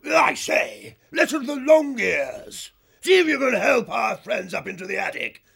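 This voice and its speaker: Pompous old-chap hare for a storybook, way high, swoops all over, blustery. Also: male voice, sing-song, a little slow, creaky, fairly bright tone, husky, full character voice. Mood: blustering, sarcastic. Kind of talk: audiobook character voice.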